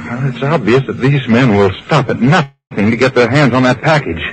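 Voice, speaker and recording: An actor playing an earnest, worried captive in a 1950s radio drama, with a hesitant delivery. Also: male voice, medium pitch, normal speed, slightly rough. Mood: earnest, rueful. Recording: noisy old radio recording.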